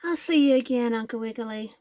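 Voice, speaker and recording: A woman voicing a big, playful elephant who talks in a high, excitable, whiny way in a storybook reading. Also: a little fast, dry recording, lightly acted. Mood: excited, pleading.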